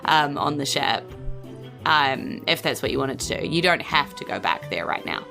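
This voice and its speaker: A woman's voice: extremely low pitch, very animated, moderate pace, gravelly, faint music behind. A woman narrating a tabletop game as game master in a casual, conversational, improvised way.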